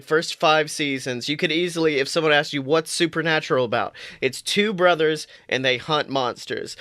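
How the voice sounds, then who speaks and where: A young man co-hosting a podcast, chatting casually and playfully with lively, mock-outraged reactions. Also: a little high, clear, resonant tone, no echo, studio quality. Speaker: podcast co-host. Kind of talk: casual chat.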